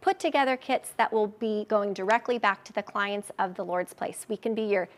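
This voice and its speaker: A woman in her forties, a charity spokesperson, talking eagerly and warmly as a guest in a live TV studio interview, recorded on a lapel mic. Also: mid-range voice, normal speed. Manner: upbeat, eager, promotional. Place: TV news studio.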